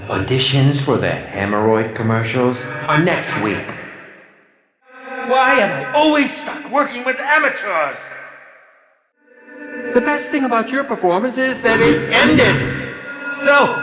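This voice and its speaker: A cruel, pompous movie director from a video game, high-voiced and swooping wildly between sneer and shout. He draws his words out slowly with theatrical, sarcastic condescension, ringing through a reverberant hall. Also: big pitch swings, full character voice. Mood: scornful, sarcastic, then contemptuous, exasperated. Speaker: film director and announcer character in a video game. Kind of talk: video game villain announcer voice acting. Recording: echoey hall reverb over music.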